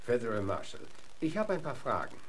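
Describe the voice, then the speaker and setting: A man acts out a gruff frontier lawman for a radio drama. His medium-pitched voice moves up and down a lot, with blunt, terse, menacing questioning. There is clear ambient noise behind him. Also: very animated, fairly quick, natural voice.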